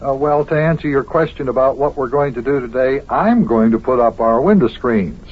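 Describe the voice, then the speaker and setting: Patient, encouraging father-figure host, male actor for old radio serial: medium pitch, measured homespun delivery, tinny narrow-band sound. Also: slight background noise, close to an everyday voice. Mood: friendly. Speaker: host and narrator. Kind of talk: old-time children's radio narration and acting. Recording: very thin, phone-like old radio recording.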